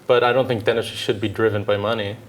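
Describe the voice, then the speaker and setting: A man in his thirties from Southern California, a dentist answering interview questions in a measured, thoughtful way with frequent filler pauses. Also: lowish voice, steady tone, a little hiss or hum. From Southern California, United States. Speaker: dentist and orthodontist guest.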